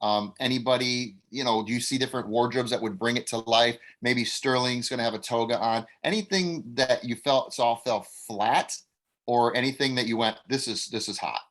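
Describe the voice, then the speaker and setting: A man's voice: mid-range voice, moderate pace, a bit bright. Acting teacher, male, blunt and direct, giving notes on a video call. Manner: blunt, coach-like. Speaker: acting teacher leading a table read.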